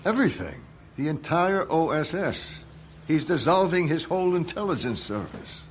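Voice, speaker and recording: An actor playing a shrewd, sardonic senior spy chief in a radio drama, curt and authoritative. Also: male voice, a little high, very animated, moderate pace, slight background noise. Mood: bitter, shaken.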